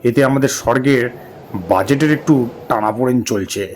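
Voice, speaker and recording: A man's voice: medium pitch, brisk, slight background noise, close to an everyday voice. A man voicing a weary, lordly god of death who grumbles colloquially in a comic cartoon. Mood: bossy.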